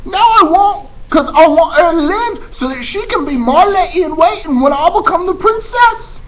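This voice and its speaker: A man voicing a slow-witted, eager young serving girl from a storybook in a shrill, strained, childish falsetto. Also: expressive. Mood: eager, loud. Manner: loud, childish, ungrammatical delivery. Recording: muffled recording with background noise.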